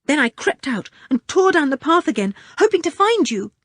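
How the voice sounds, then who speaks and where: A woman's voice: high voice, big pitch swings, moderate pace, dry recording, clean studio sound, pitched above the performer's own voice. A woman voicing a frightened young boy telling in a rush how he fled from men chasing him, for a storybook reading.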